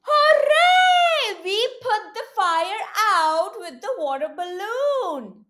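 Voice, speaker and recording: Female kids' storybook reader: high, very expressive voice, interactive teacher delivery with coaxing questions. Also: takes it slowly. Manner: animated, exclaiming, with coaxing questions and repetition. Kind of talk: interactive read-aloud for young children.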